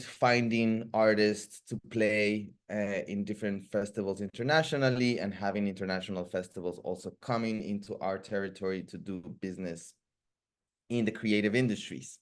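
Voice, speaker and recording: Low-voiced man, reflective talk. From Ecuador. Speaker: guest speaker, music producer. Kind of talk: informal community talk presentation.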